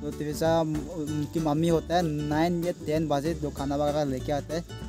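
A man's voice: fairly high pitch, little pitch movement, normal speed, warm tone, faint music behind. A young man with a northeast Indian accent gives casual, rambling vlog commentary, explaining things to viewers and repeating himself, recorded outdoors on a phone. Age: young adult. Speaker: village fishing vlogger. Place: outdoors on a small wooden boat on a river. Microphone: phone at arm's length.

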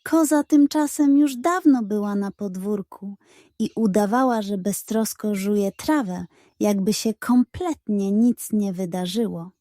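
A woman narrating a scripted children's bedtime story in an expressive storytelling style. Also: mid-range voice, unhurried, dry recording, studio quality.

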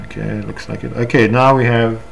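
A man with a South African accent talks through a software screencast step by step, unscripted and halting. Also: medium pitch, little pitch movement. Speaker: software tutorial instructor. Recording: clear background noise, room ambience.

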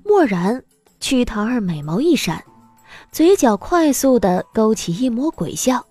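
Female audiobook narrator, high and animated, expressive romance storytelling, close dry sound. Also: unhurried, breathy edge, dry recording, faint music behind.

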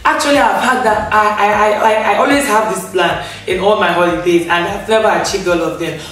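A young woman talking casually to camera in a living room, chatty and expressive, laughing often, recovering from losing her voice. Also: mid-range voice, fairly quick. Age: young adult. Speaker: vlogger. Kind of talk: casual storytime rant to camera. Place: indoor living room, on a grey sofa. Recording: echoey room, a bit far from the mic, faint music.

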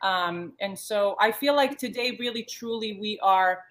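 A woman's voice: mid-range voice, measured pace, a bit bright. Earnest woman on a video call. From born in the States, raised in Yugoslavia. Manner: earnest, many restarts and fillers. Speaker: panel guest, former model. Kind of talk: panel discussion on a video call. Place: home living room. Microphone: laptop webcam on a video call.

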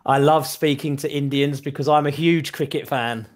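A man's voice: fairly high pitch, fairly even intonation, moderate pace. A middle-aged British man, an online English tutor, talking casually over a video call on a headset and often repeating words as he starts a thought. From United Kingdom. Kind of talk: casual conversation lesson. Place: small room at home.

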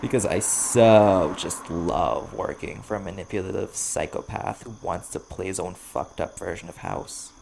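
A cool, sardonic male vampire bounty hunter, acted by a man for an audio roleplay, with a low voice steeped in heavy vocal fry. He bargains carefully in dry, measured phrases with pointed pauses. Crickets and a clear layer of night noise sit behind him. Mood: bitter sarcasm. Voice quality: gravelly. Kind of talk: audio roleplay, slow dramatic monologue to the listener.

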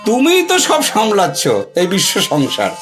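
A woman's voice: medium pitch, wildly swooping pitch, moderate pace. A woman playing a coaxing, playful old Shiva in an audio drama, repeating words as he wheedles. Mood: coaxing, pleading. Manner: wheedling, stammering repetitions of words. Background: music.

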